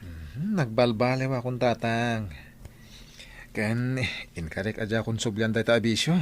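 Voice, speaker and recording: A man's voice: medium pitch, a little slow, natural voice. A man playing an earnest, lovestruck young village man in a radio-style story drama. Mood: respectful.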